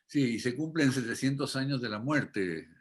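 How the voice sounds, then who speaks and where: A man's voice: mid-range voice, expressive, moderate pace. A man hosting an interview, answering with brief, affirming remarks. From Chile. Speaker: host. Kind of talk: interview conversation.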